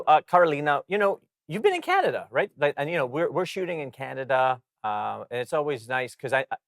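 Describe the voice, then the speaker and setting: A man's voice: mid-range voice, no echo, clean studio sound. A middle-aged man hosting an interview show, earnest, often murmuring agreement. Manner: earnest, frequent backchannel murmurs. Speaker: talk show host.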